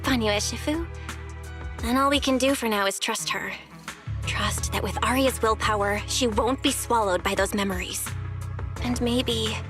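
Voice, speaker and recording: A young woman from an anime-style video game, friendly and earnest. Her voice sits high and moves around a lot in conversational, expressive lines. Music plays underneath. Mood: concerned, thoughtful. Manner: expressive, animated, conversational delivery.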